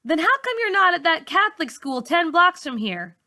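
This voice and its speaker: A woman's voice: very high voice, very animated, moderate pace, pushed and bright, pitched above the performer's own voice, full character voice. A woman voicing a blunt, nosy middle-school girl from a storybook, with informal, challenging questions. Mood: blunt, curious.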